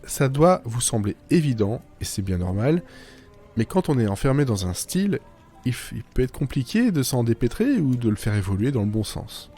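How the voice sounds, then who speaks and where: A man with a mid-pitched voice narrates a scripted first-person monologue. His delivery is whimsical and self-mocking, full of little asides. Faint music plays behind him. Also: big pitch swings, normal speed, dark tone, slightly rough. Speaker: writer narrating own fiction podcast.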